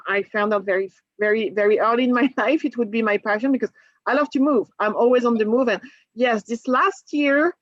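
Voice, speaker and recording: A woman from France with a French accent, a cruise line sales manager presenting on a video call, lively and laughing often. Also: a little high, no room sound. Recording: dull lo-fi video call audio.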